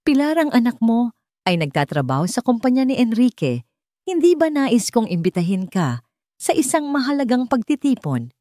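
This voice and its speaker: A woman narrator plays a commanding older Filipino matriarch in an audio story. She is wealthy, shrewd and resolute, speaking firmly in a mellow voice, recorded dry. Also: fairly high pitch, very animated, normal speed, no echo, pitched above the performer's own voice. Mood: shrewd, persuasive. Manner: firm, authoritative. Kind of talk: audio story character acting.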